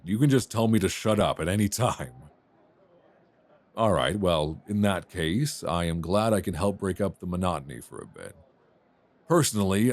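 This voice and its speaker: Romance lead played by a man: low, animated voice, flirty and self-deprecating, close dry binaural mic. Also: no echo, heavily acted. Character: friendly, flirtatious rough-and-tumble male traveller, a writer. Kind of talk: intimate ASMR romance roleplay. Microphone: close binaural microphone.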